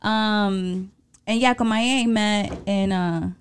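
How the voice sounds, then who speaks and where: A woman's voice: medium pitch, slow, clean tone. A woman about to turn thirty with a Mexican American accent, co-hosting a podcast in casual chat. She swears freely, laughs often and slips into Spanish. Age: late 20s. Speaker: podcast co-host.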